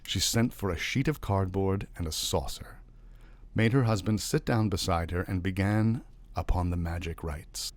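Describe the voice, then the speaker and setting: A man reading a literary short story aloud as an expressive narrator. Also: sits low, moderate pace, no echo. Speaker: story reader.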